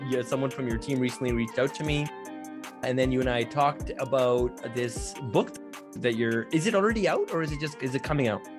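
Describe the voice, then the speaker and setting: A man's voice: mid-range voice, fairly quick, music in the background. A man in his thirties hosting a casual live interview over a video call, chatty and upbeat, often restarting his sentences. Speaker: podcast host. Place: home studio. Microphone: studio mic on a boom, seen in frame.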